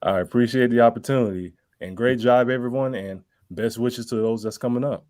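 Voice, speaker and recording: Male auditioner, middle-register voice, courteous and appreciative in relaxed online conversation. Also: mid-range voice, brisk. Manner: polite, appreciative. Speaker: auditioning actor. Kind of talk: casual, polite video-call chat.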